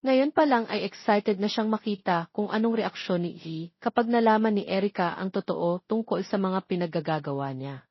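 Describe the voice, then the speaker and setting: A woman's voice: mid-range voice, normal speed, husky, no echo. A woman narrating a scripted dramatic story as its storyteller. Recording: slightly dull, muffled audio.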